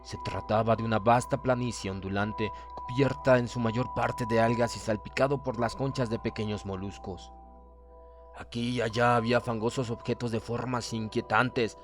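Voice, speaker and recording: A male audiobook narrator with a medium-pitched voice reads a horror tale over clearly audible music. His delivery is solemn and deliberate, with dramatic emphasis. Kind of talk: dramatic scripted horror narration in first person.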